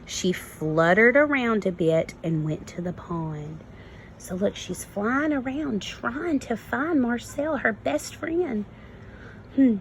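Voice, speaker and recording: Slow, creaky-voiced woman teacher reading aloud. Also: on the high side, a little hiss or hum, poor audio. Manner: expressive, instructive, prompting listeners with questions. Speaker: kindergarten teacher. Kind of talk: read-aloud lesson for young children.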